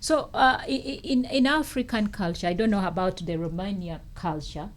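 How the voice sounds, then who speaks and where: A woman's voice: medium pitch, normal speed. A woman hosting a casual interview who chats freely, cuts in often and prompts her guest with questions. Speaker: talk show host.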